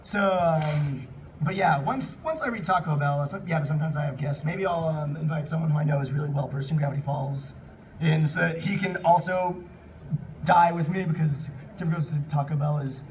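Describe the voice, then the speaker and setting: An excitable man hosting a live comedic fan panel, reading and chatting with the audience, often bursting into shouts and laughter. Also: a little high, normal speed. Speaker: panel host and fanfic reader. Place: convention panel room. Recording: distant mic in noisy convention room.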